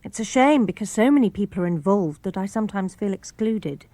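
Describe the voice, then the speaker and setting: A woman's voice: medium pitch, animated, brisk, mellow, no echo. Woman with British accent reading letters. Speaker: reader of letters and memoir. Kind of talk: scripted reading for radio.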